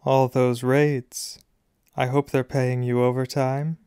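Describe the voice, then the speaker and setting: A man's voice: medium pitch, little pitch movement, slow pace, warm tone, clean tone, no room sound, studio quality. A man voicing a malicious upper-class wizard father in a storybook, drawling and mock-polite with cold contempt.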